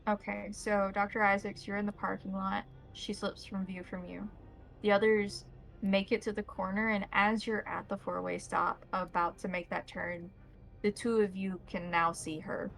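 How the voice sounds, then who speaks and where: A woman's voice: medium pitch, level tone, moderate pace, gravelly, a bit bright, faint music behind. A woman acting as game master, explaining and describing scenes in detail for a tabletop role-playing game.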